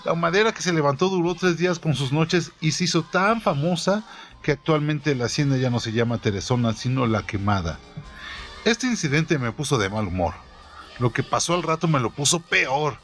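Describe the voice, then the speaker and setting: A man reading a first-person story aloud in a steady storytelling manner, his voice falling low at the ends of phrases. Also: normal speed. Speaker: narrator. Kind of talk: scripted audiobook narration.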